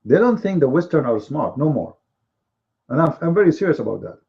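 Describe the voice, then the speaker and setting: A man whose first language is Arabic, hosting a live online commentary show, with emphatic, repetitive speech and drawn-out stresses. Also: on the high side, very animated, vocal fry, lo-fi sound.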